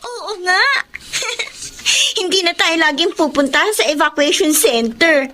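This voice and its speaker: An eager young Filipina little sister, curious and excitable in a radio drama. The voice is extremely high, with clear noise on the track. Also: female voice, measured pace. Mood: delighted.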